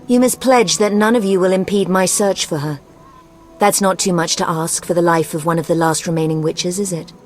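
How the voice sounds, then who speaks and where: An actress playing an imperious, scheming sorceress in a video game, speaking in a formal, cutting manner. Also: female voice, lowish voice, steady tone, a little fast, natural voice. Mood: demanding, cold.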